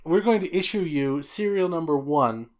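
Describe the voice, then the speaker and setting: A man co-hosting a podcast. He reads a listener letter aloud, then chats casually in a loud, jolly way, often stammering and restarting. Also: a little high, expressive, moderate pace. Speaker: podcast co-host.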